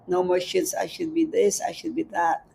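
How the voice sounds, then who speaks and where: A woman with a Filipino accent giving a gentle, repetitive spiritual teaching on a livestream, reading out viewer comments. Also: medium pitch, very animated, normal speed, vocal fry, slight background noise. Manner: gentle, repeats phrases, reads viewer comments aloud. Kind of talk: live-streamed spiritual teaching. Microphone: livestream setup.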